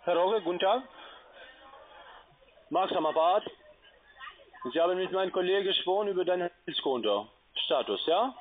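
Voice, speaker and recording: A man's voice: high voice, expressive, normal speed, pauses often, slight background noise. A man with a foreign accent, a non-native speaker, talking on the phone as a call-centre agent. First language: not German. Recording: tinny phone-like line.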